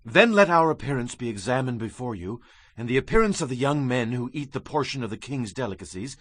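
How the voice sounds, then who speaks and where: An actor playing an earnest, respectful young man at a royal court in a dramatized audio Bible, husky and politely appealing. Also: mid-range voice, lively intonation, fairly quick, dry recording, close to an everyday voice.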